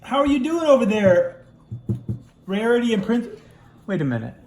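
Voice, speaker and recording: A man doing playful improvised puppet play for children, with animated exclamations and teasing. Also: very high voice, normal speed, roomy sound, rough recording. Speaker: father puppeteering toy ponies for a kids' video.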